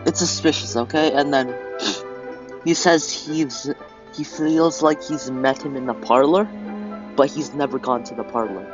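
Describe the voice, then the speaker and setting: A man's voice: medium pitch, unhurried. A man giving casual, informal gameplay commentary as he plays through a game. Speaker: gamer. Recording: muffled audio over game music.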